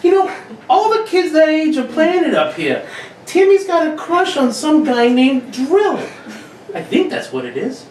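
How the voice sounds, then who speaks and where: A woman's voice: high-pitched, very animated, unhurried, darkish tone. A woman playing a breezy, gossipy housewife and old friend in a radio drama. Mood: gossipy, amused. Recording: roomy, distant mic, faint noise.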